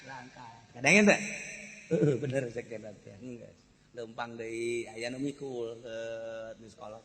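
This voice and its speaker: A man with a Sundanese accent preaching through earthy, animated, colloquial comic storytelling. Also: mid-range voice, unhurried. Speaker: Islamic preacher. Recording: echoey hall with long reverb.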